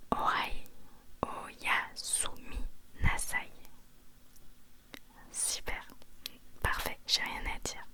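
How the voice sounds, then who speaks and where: A woman whispering an ASMR roleplay as a patient, encouraging language teacher, repeating phrases softly. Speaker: ASMR creator playing a Japanese teacher.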